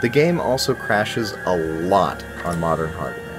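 A man in his thirties with a fairly low voice delivers a scripted game review. His phrasing is casual, wry and emphatic. Music plays clearly behind him. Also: lively intonation, measured pace.

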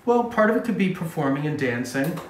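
A man's voice: on the high side, sing-song, brisk, slight background noise. A man in his fifties, a chiropractor, casually and reassuringly explaining and asking questions as he works on a patient. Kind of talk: explaining and questioning during a hands-on treatment. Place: small indoor treatment room, behind a face mask. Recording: echoey room.

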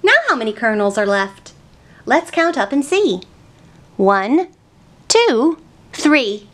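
A woman's voice: fairly high pitch, big pitch swings, slow pace, a bit bright. A young woman hosting a children's storytime, explaining things simply in a sing-song way to small children.

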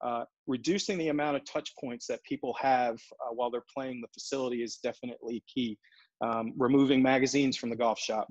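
A man in his forties, a golf operations executive, answering interview questions over a video call in a steady, businesslike way with frequent fillers and restarts. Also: medium pitch, no echo. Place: home office in front of a brick wall. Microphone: earbuds on a video call. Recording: dull, dry video call audio.

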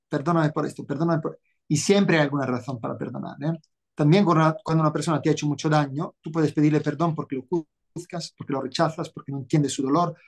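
Husky middle-aged man. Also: on the high side, a little mellow. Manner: earnest, didactic, enumerating points. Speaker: interview guest, author and workshop teacher. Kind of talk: explanatory talk in a video interview. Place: living room, indoors, on a video call. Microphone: video call, no mic visible.

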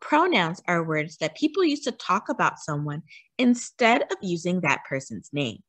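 A woman with a husky voice reading a children's picture book aloud expressively, putting questions to young listeners. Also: fairly low pitch, sing-song, moderate pace, a bit bright, no room sound. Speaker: read-aloud storyteller for children.

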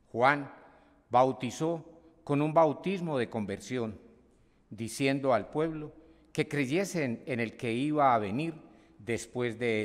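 Older man, scripture reader, very slow careful delivery, church lectern, long reverb. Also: mid-range voice. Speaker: lay reader. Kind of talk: reading scripture aloud.

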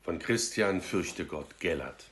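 Theatrical middle-aged man reading, very animated intonation. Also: sits low. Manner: theatrical, deliberate, with emphatic pauses. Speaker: storyteller reading aloud. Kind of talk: dramatic literary reading of a verse fable. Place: small room at home, indoors.

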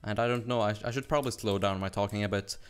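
Fast-talking Swedish young man gaming. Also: lowish voice, steady tone, smooth. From Sweden. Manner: fast, rambling, laughs often. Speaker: gaming YouTuber. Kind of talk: casual gameplay commentary.

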